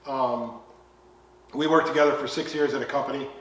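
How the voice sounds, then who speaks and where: A man's voice: mid-range voice, fairly even intonation, fairly bright tone. A middle-aged American man hosting a podcast, chatty and rambling as he introduces a guest. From United States. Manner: chatty, rambling, quick to laugh along. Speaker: podcast host. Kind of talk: casual podcast chat. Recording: echoey room, distant mic.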